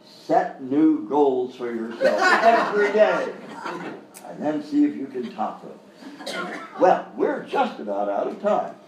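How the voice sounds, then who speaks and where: A man's voice: medium pitch, big pitch swings, takes it slowly. A man playing a flustered, relentlessly cheerful uncle who hosts a children's show in a live radio comedy sketch, talking down soothingly. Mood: breezy. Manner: reassuring, singsong talk to children. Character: avuncular, flustered male kids' show host, relentlessly cheerful. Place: live performance in hotel venue. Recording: roomy live stage, distant mic, faint noise.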